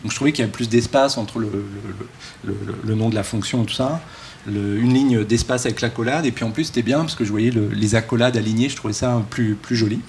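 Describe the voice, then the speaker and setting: A man speaks informally as a conference keynote speaker, joking and halting with many false starts. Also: medium pitch, a little mellow. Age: early 40s. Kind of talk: casual, joking conference talk. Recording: live talk with background room noise.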